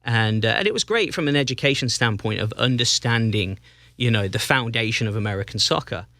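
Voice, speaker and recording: English man, Northampton accent, relaxed guest on a podcast, halting delivery, dry close mic. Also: mid-range voice, no echo. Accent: English, Northampton area. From England. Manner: easygoing, halting with restarts and fillers. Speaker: guest, soccer club president. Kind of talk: casual interview chat.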